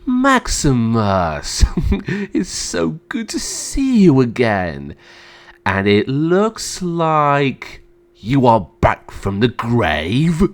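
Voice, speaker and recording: A man's voice: medium pitch, sing-song, normal speed, voice pushed up, lightly acted. A man playing a cruel, vain, raging emperor in a theatrical, imperious and menacing manner. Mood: cruel, gloating. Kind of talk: amateur dramatic storytelling.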